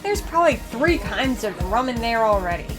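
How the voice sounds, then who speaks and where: Woman playing a high-voiced, blunt troublemaker. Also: normal speed, music in the background. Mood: irritated. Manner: deadpan, sardonic. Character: mischievous, blunt, scornful young female troublemaker. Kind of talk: comic audio drama acting.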